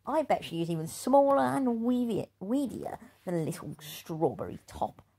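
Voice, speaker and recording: A woman's voice: medium pitch, sing-song, moderate pace, dark tone, husky. A woman voicing a rude, mean little girl bully in a storybook read-aloud, brash, mocking and crude. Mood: scheming.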